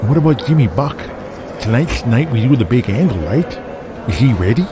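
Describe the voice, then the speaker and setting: A man's voice: medium pitch, sing-song, fairly quick, close to an everyday voice. An actor playing an eager, slightly worried wrestling promotion man in an audio drama. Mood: curious, uneasy. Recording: noisy background ambience.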